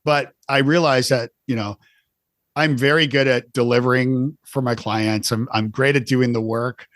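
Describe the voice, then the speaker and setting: A man of middle pitch hosts a casual podcast interview. He talks in loose, chatty runs, often repeating words as he restarts. The sound is dry, with no room echo. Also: steady tone, moderate pace, a bit bright. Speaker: podcast host.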